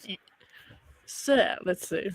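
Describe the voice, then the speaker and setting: A man hosting a casual interview, feeling his way through questions and comments in a halting manner. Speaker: interviewer and host.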